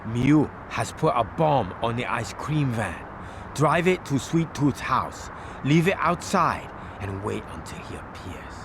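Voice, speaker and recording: An actor playing a commanding, vengeful gang boss giving measured, formal orders in a game cutscene. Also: male voice, mid-range voice, sing-song, noisy background. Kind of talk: dramatic game cutscene briefing.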